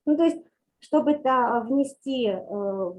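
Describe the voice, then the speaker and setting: A woman's voice: high-pitched, unhurried, a little mellow, highs cut off. Hesitant woman on a video call. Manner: hesitant, many fillers. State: unwell, speaking without video. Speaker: municipal participant. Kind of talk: online meeting remark. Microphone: video call audio.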